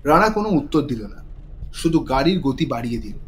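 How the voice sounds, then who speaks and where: A man's voice: mid-range voice, sing-song, a little fast, a little hiss or hum. A man narrating a scripted thriller story in a dramatic style.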